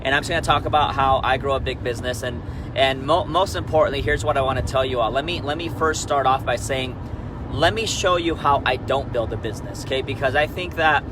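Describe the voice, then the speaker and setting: Man, 30s, Peru-born, candid rambling live-stream host, clear traffic noise under the voice. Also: medium pitch, fairly quick. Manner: candid, rambling, frequent restarts and "right?" asides. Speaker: network marketing leader. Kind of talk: Facebook Live motivational talk.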